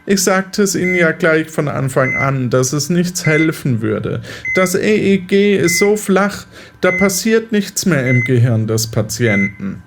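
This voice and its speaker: A man's voice: a little high, animated, unhurried. A man acting a sceptical doctor, beeping machines behind. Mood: matter-of-fact. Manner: dismissive, matter-of-fact. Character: sober, sceptical male hospital doctor, matter-of-fact. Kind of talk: German audio drama acting. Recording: beeping hospital machines, clear noise.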